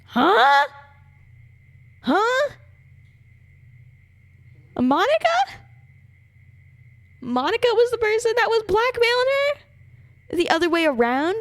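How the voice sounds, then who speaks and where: A woman's voice: very high voice, slow, pauses often, dry recording. A woman live-streaming a game, reacting expressively and reading game text aloud dramatically. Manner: expressive, sweary, incredulous reactions. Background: game music.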